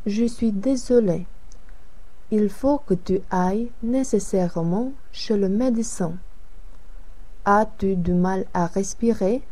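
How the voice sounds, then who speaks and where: A woman reads Anne, a practical adult woman, in a learners' dialogue. Every phrase comes slowly and carefully. The tone is warm and dark. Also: medium pitch, rough recording. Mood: plain. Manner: careful, evenly paced reading. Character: adult woman who likes simple sporty clothes. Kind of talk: slow scripted language-lesson dialogue.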